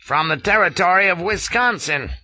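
A man voicing a gruff, irascible colonel with a rasping, indignant bark. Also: high voice, lively intonation, moderate pace, bright, forceful tone, no echo, pitched above the performer's own voice, heavily acted. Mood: angry, scolding. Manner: barking, indignant, blustering. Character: gruff irascible American colonel, grizzled veteran.

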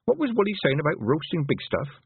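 A man voicing an earnest, sharp-witted young girl witch, in a raised, eager voice. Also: a little high, wildly swooping pitch, fairly quick, breathy edge, no room sound, voice pushed up, full character voice. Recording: tinny, phone-like lo-fi audio.

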